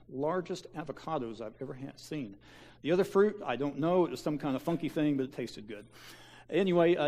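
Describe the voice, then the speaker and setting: A man's voice: a little high, a little mellow. A man, a pastor giving a folksy mission-trip slideshow talk to his church, with lots of fillers and restarts. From Kansas. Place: church sanctuary.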